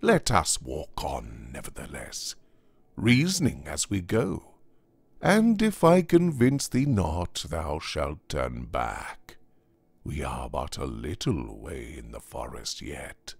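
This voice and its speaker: Man voicing a sly, slow-talking older devil. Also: rumbling low, huge pitch swings, gravelly, darkish tone, slightly rough, no room sound, pitched below the performer's own voice, heavily acted. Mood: amused, persuasive. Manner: unhurried, archaic formal speech. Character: worldly, sly older devil traveller, about fifty, smoothly persuasive. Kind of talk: dramatised audiobook reading of a classic tale.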